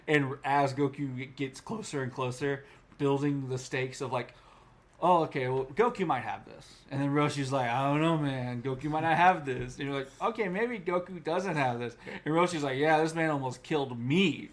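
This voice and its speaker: A man's voice: medium pitch, normal speed. A man co-hosting a podcast in an informal, chatty style, acting out reactions as he talks. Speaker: podcast co-host. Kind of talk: casual chat about anime.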